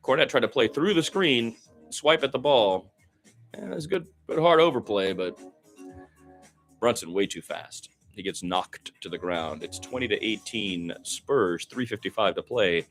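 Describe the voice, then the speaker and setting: Low-voiced man calling basketball play-by-play. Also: a little slow, soft background music. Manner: narrates action in short clipped phrases, rising excitedly on big plays. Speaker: radio play-by-play commentator. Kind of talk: live basketball play-by-play commentary.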